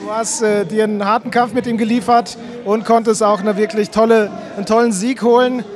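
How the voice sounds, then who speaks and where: A male interviewer asks even, friendly questions into a handheld mic. His voice is high and flat. A crowd murmurs clearly behind him. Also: brisk.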